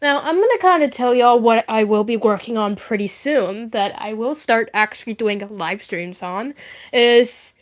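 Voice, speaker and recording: High-voiced young woman, rambling. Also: moderate pace, muffled recording. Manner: rambling, hesitant, often repeating herself. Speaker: live streamer. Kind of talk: casual live stream chat.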